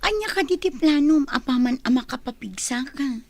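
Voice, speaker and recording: An actress playing a blunt, earthy helper and confidante in a radio drama. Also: female voice, high voice, expressive, normal speed, dry recording. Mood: concerned. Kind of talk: Filipino radio drama acting.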